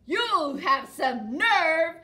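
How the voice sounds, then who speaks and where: A woman's voice: extremely high pitch, big pitch swings, voice pushed up, full character voice. Woman doing a scratchy grumpy giant troll. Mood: menacing. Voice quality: scritchy scratchy voice. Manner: loud, slow, menacing growls. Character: grumpy giant female troll, bossy and hungry. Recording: roomy echo.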